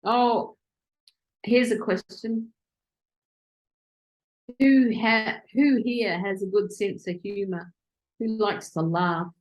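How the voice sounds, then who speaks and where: A woman interviewing virtual assistants on a video call, excitable and quick to speed up when she gets going. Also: mid-range voice, low-quality recording.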